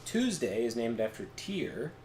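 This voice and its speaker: Guy rambling on a game stream, halting n wandering, roomy echo, bit of background noise. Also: medium pitch, sing-song, a little mellow. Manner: halting, digressive, trivia-minded. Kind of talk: casual rambling chat. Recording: echoey room, faint background noise.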